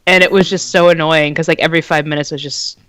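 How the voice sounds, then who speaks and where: A young man chatting on a podcast, winding through anecdotes and breaking into laughs. His voice is high, bright and smooth, recorded dry and close. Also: fairly even intonation, quick pace, dry recording. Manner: rambling storyteller, laughs often. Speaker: podcast co-host. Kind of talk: casual chat.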